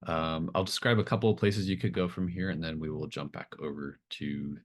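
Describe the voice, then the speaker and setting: Male game master, extremely low voice, improvised scene-setting in halting phrases. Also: fairly even intonation. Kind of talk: improvised tabletop game narration.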